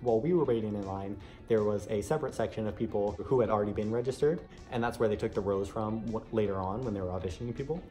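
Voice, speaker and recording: A man's voice: on the low side, quick pace, few pauses, dark tone, faint music behind. A young American man telling a story casually to camera in a chatty, conversational way. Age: young adult. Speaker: vlogger sharing an audition experience.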